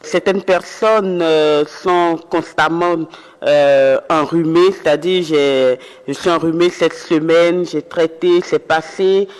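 A woman's voice: deep voice, steady tone, darkish tone, long reverb tail. A woman interviewing a doctor, asking long questions with many hesitations. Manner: hesitant, with frequent drawn-out fillers.

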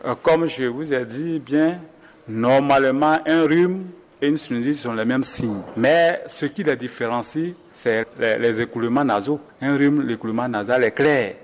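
Methodical doctor explaining, reverberant. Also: male voice, medium pitch, moderate pace. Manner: methodical, lists symptoms with restarts and "voilà". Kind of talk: explaining symptoms in an interview.

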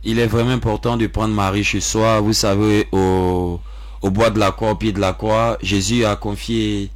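Male religious brother as interview guest, lowish voice, measured, earnest, repeats phrases for emphasis. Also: steady tone.

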